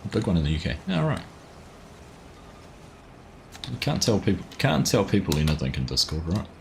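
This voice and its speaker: Kiwi male streamer, very low voice, laid-back chatter over faint noise. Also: big pitch swings, fast talker, creaky. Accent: New Zealand. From New Zealand. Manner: chatty, laughs at himself, reads chat aloud. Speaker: livestream gaming host. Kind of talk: casual live gameplay chat.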